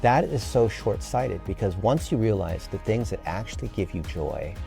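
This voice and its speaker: A man's voice: on the low side, expressive, normal speed, vocal fry, mellow, faint music behind. A man in his early fifties explaining things at length in an enthusiastic, earnest, emphatic conversational way. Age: early 50s.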